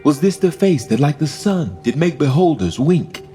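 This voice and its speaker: A man's voice: on the high side, sing-song, mellow, big-room sound, faint music behind. An actor playing a proud, bitterly ironic deposed king who mourns himself in theatrical Shakespearean verse for radio. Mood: anguished, brooding. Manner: theatrical verse delivery, musing, mocking pauses. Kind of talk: Shakespearean radio drama acting.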